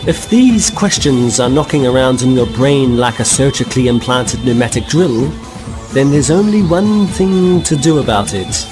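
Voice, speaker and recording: Measured wry male narrator over music. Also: medium pitch, lively intonation. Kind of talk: scripted narration.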